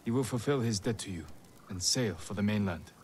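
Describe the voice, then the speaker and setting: An actor playing a stern, resolute samurai lord in a video game, speaking in a terse, measured, grave way. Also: male voice, lowish voice, a little mellow, a little hiss or hum, lightly acted. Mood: quietly pleased. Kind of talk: video game cutscene acting.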